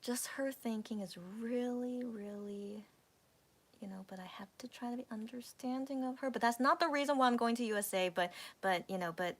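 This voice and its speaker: A woman's voice: on the high side, moderate pace. A woman in her thirties chatting casually with viewers on a livestream, rambling with many restarts and sometimes dropping to a whisper. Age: 30s. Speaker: livestream host.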